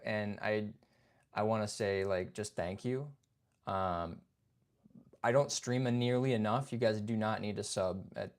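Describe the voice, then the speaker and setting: A young man hosting a casual livestream chat. He reads viewer comments, greets people by name and keeps saying "man" and "dude". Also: fairly low pitch. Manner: laid-back, chatty, says "man" and "dude". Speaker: livestream host.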